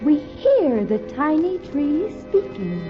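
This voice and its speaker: A woman's voice: high-pitched, very animated, slow. A woman giving sentimental scripted narration in 1950s radio style. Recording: dull old radio recording, music behind.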